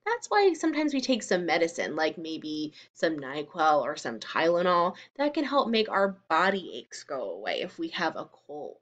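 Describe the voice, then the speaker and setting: A woman giving a read-aloud lesson for young children, explaining and questioning in simple, expressive phrases. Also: lowish voice, normal speed, few pauses, dull audio. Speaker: teacher reading aloud to children.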